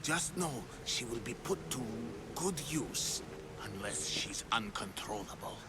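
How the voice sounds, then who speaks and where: A scheming cultist from a video game, acted by a man. His mid-pitched voice is very animated and sinks into secretive murmurs, with loud ambience all around. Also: unhurried, natural voice. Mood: conspiratorial. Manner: secretive, insinuating, dropping into whispers. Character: scheming, conspiratorial male cult member. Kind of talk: dramatic video game acting. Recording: loud ambient noise behind voice.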